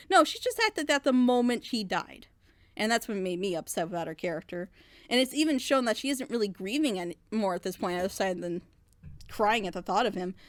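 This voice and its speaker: A woman's voice: mid-range voice, no echo. A woman giving an opinionated, casual review in an emphatic, critical manner. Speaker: reaction show panelist.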